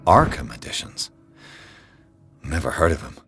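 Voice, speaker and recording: An actor playing a brooding male investigator in a video game, thinking aloud in a gravelly voice. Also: a bass voice, sing-song, measured pace, a bit bright, soft background music, exaggerated voice. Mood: brooding, curious. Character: brooding male investigator examining occult clues.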